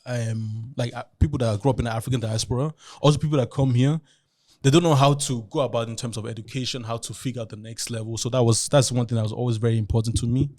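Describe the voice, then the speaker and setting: Quick-talking male podcast host. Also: medium pitch, steady tone, a little mellow. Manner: inquisitive, steers the conversation. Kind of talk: casual chat.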